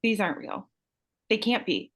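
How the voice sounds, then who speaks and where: A woman acts out a young female beauty queen, hurt and betrayed. Her voice sits at a medium pitch, as performed in a table read of a screenplay. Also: very animated, normal speed. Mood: disbelieving.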